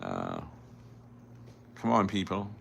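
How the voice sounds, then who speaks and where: A man's voice: sits low, huge pitch swings, takes it slowly, creaky, highs cut off, a little hiss or hum. A middle-aged man from Jamaica, a driving instructor, hosting a casual live Q&A where he reads viewer questions aloud and answers them plainly. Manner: repeats words and restarts often. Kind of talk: casual livestream Q&A.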